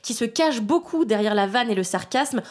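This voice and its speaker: A woman in her thirties, a guest in a casual chat, telling lively stories and acting out what people said. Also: a little high, moderate pace. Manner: lively anecdotes with acted-out quotes.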